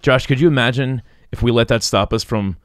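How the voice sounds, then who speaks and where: A man's voice: mid-range voice, a little fast, clean tone, no room sound. Casual male podcast co-host, dry sound. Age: 30s. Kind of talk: casual conversational podcast talk. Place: small dark podcast studio. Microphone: close studio microphone on boom arm.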